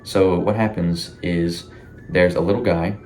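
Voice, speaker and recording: A man giving casual, rambling, informal commentary as a YouTube host, with animated reactions. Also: deep voice, a little slow, a little hiss or hum. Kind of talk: casual commentary on a horror series.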